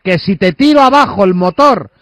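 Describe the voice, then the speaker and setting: A man with a Spanish accent from Spain speaks in a fairly high voice, close and dry. He is insistent, repeating himself and cutting in as he plays out a phone prank. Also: lively intonation, moderate pace, a bit bright, dry recording. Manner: insistent, interrupting, repetitive, talks over the listener. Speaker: prank caller posing as a kitchen fitter. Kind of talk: phone prank, improvised conversation.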